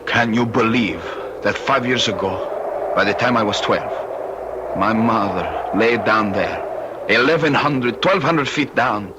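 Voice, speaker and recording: A man's voice: on the low side, slow. A man playing an intense, shy, embittered Spanish teenage boy in an old-time radio drama, with a Spanish accent and an earnest, formal way of speaking. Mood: grim, intense. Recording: noisy vintage radio recording.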